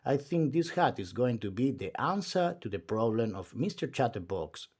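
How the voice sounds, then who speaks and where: A man voicing a weary village hat seller from a storybook, with a measured, sighing manner. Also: medium pitch, big pitch swings, darkish tone, husky, pitched below the performer's own voice. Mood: weary, hopeful. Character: weary male village hat shop owner, scheming quietly.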